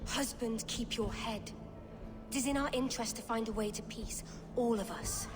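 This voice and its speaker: Woman playing a pleading, high-voiced noble wife. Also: normal speed, natural voice. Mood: anxious pleading. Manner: urgent, imploring. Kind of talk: dramatic video game acting. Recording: long hall reverb, loud music.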